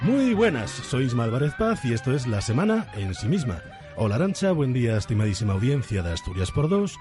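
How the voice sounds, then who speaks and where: A man's voice: medium pitch, sing-song, fairly quick. A man presenting and narrating on radio in a theatrical, tongue-in-cheek, mock-dramatic way. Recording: faint music under the voice. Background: music.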